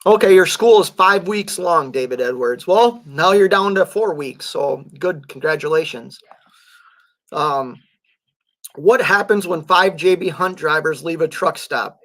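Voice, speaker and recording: A man with a somewhat high voice chats loosely with his live audience as a truck driver. His voice is fraying toward hoarseness. He halts often, clears his throat and leans on fillers. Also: a little slow, a bit bright. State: voice going hoarse. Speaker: truck driver and livestream host. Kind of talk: casual livestream chat reading viewer comments.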